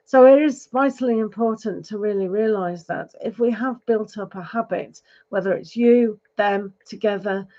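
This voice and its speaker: A woman giving a live tarot reading, advising gently with many fillers, her voice going hoarse. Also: mid-range voice, normal speed, hardly any pauses, dull audio. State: losing her voice, clearing throat. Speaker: tarot reader.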